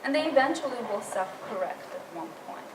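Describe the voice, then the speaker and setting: A woman's voice: on the high side, sing-song, fairly quick. A woman leading a writing tutor training session, explaining patiently and restarting her phrases. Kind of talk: workshop presentation. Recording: roomy distant mic with room noise.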